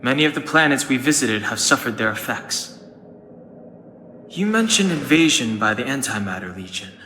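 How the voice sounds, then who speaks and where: A serious young traveler from a video game, level-headed and articulate. His voice is bright and forward at a middling pitch, ringing in a long reverb as he explains in earnest. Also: big pitch swings, moderate pace. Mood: earnest, explaining. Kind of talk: scripted video game voice acting. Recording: reverberant hall, faint noise.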